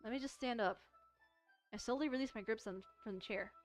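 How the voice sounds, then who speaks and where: A woman's voice: a little high, brisk, faint music behind. A woman streamer reading a visual novel aloud expressively, mixing dramatic narration with casual commentary and stumbling over words.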